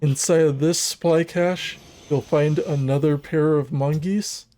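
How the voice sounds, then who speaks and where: A man narrating a scripted video game walkthrough in a measured, methodical, instructional manner. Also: fairly high pitch, steady tone, mellow.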